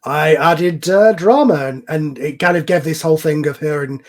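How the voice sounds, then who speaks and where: Man, fairly high voice, casual group discussion, animated explainer, restarts often, online voice call. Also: normal speed. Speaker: series creator in a cast discussion. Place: voice chat call over the internet.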